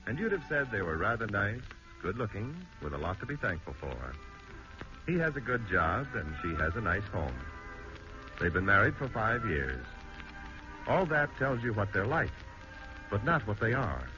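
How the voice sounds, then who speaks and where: A man's voice: on the low side, big pitch swings, moderate pace. A man narrating a radio drama from a script in a 1940s broadcast style. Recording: muffled old radio broadcast, music behind.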